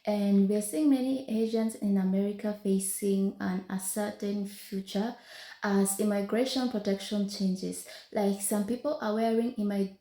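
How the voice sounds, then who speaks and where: A woman with a mellow, darker voice and a middle pitch opens a casual YouTube talk. She speaks conversationally and trips over a word now and then. Her voice rings a little in a roomy space. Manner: conversational, with small restarts. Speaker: video commentator introducing the topic. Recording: roomy echo.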